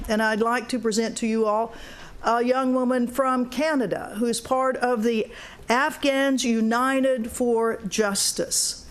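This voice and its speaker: A woman moderating a panel, introducing speakers in a measured way and sometimes repeating words. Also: on the high side, a little hiss or hum.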